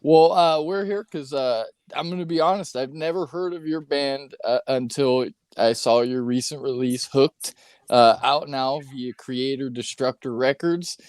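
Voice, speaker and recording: A man hosting a casual podcast interview, relaxed and loose, swearing freely and filling his sentences with "uh" and "like.". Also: medium pitch, measured pace, clean tone, dry recording, studio quality. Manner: laid-back, swears freely, lots of filler words. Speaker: podcast host.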